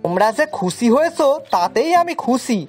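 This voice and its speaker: Woman voicing a high-pitched, naive village uncle. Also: big pitch swings, a little fast, a little mellow, husky, soft background music. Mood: kindly. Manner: earnest, guileless, folksy. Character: simple, kind-hearted, naive village man called uncle. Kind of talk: children's cartoon acting.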